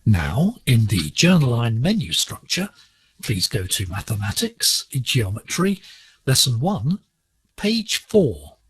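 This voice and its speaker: Slow, deliberate man teaching by radio. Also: medium pitch, huge pitch swings, poor audio. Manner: measured, explanatory, deliberate enunciation. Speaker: educational radio presenter, maths teacher. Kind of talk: scripted instructional narration. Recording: shortwave digital radio broadcast.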